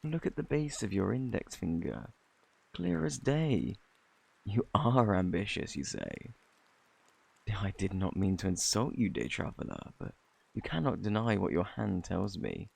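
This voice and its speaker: A man with a British accent playing a flirty, eccentric fortune teller in a fantasy ASMR roleplay, with a theatrical, boastful, easily flustered manner and a husky, gravelly voice. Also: lowish voice, very animated, measured pace, a little mellow, heavily acted. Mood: mystical, assured. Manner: theatrical, flustered, coaxing, old-fashioned phrasing. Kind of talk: ASMR roleplay, intimate scripted acting.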